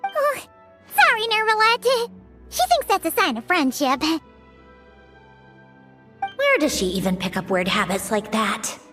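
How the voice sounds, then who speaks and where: A woman voicing a tiny, cheerful, childlike game companion who is excitable and easily exasperated, with a chirpy delivery. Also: very high-pitched, unhurried, pauses often, faint music behind, heavily acted. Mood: apologetic, bemused. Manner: chirpy, expressive. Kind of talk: video game voice acting.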